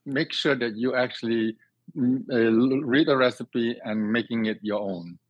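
A man's voice: mid-range voice, unhurried, no room sound. A man from Singapore answering interview questions conversationally, earnest and expansive, often repeating and reworking his phrases as he thinks. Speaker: guest, cook and cooking teacher. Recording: dry, slightly dull band-limited sound.

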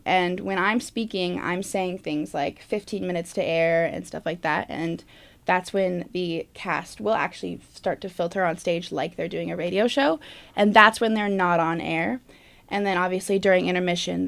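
Young woman with a creaky voice, chatting. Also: on the low side. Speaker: stage manager. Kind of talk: casual interview talk.

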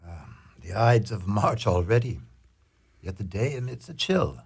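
Guy doing a stately roman ruler, husky voice, formal shakespeare talk, radio play. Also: fairly low pitch, sing-song, measured pace, exaggerated voice. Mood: stately, assured. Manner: declamatory, formal archaic phrasing. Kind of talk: amateur Shakespearean radio drama acting.

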